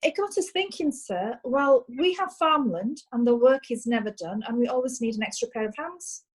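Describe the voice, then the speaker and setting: A plain-spoken farmer's wife voiced by a woman addresses the bench in an old-time courtroom audio drama. Her slightly high voice stays earnest and deferential. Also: animated, normal speed, breathy edge, low-quality recording. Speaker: defendant.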